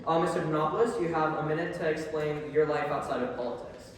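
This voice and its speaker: A man's voice: fairly high pitch, fairly even intonation, quick pace. A young man moderating a candidate forum in a casual, informal and slightly unsure way. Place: large echoing public hall. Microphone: distant microphone. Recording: echoey hall from far off, faint noise.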